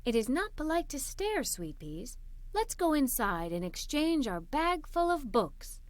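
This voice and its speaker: Gentle mother, storybook role, read by a woman: polite, easygoing, animated pitch, dry close sound. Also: measured pace, no echo.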